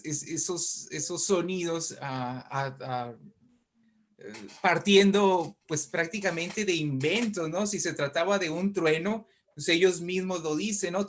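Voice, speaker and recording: Man, Latin American Spanish accent, slightly high voice, conversational explaining, halting, repeated words. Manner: halting, often repeats words while searching. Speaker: writer discussing radio drama history.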